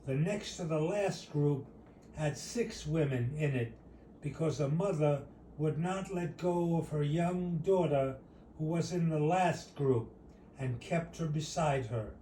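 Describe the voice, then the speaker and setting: A man reads a personal short story aloud at a slow, deliberate pace. His voice is mellow and somewhat high for a man. It is recorded in a roomy space at some distance from the mic. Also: a little hiss or hum. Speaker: author reading own short story.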